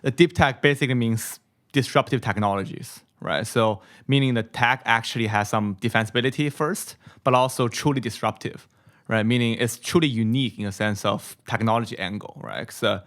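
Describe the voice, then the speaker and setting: A man's voice: mid-range voice, brisk, a bit bright. A man in his thirties from China with a Mandarin accent, a guest on a podcast, talking casually and ending many phrases with "right?", close to a studio mic. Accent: Mandarin Chinese. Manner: tags sentences with "right?", many repeats. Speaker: venture capital guest. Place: small studio room, brick wall. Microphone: studio mic on boom, headphones.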